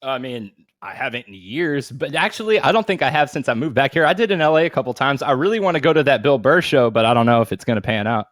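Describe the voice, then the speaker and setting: A man's voice: medium pitch, animated, fast talker, few pauses, a bit bright, dry recording, studio quality. A man co-hosting a podcast in casual, slangy, profanity-laced banter and stories. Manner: profane, slangy bro talk. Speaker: podcast co-host.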